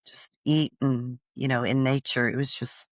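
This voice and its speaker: A woman's voice: rumbling low, flat delivery, moderate pace, no echo, studio quality. A woman co-hosting a podcast, speaking in a casual, conversational way. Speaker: podcast co-host. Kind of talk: casual chat.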